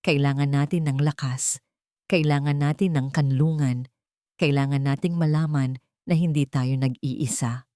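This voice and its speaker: A woman's voice: low voice, darkish tone, no room sound, clean studio sound. A woman with a Filipino accent reading a scripted, dramatic first-person story as an audiobook narrator.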